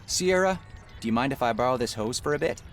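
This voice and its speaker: Man voicing easygoing young tinkerer dude in an adventure game, wry n casual, pitch moves around a lot, music under it. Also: expressive, quick pace, close to an everyday voice. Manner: wry, casual, musing aloud. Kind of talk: video game character dialogue.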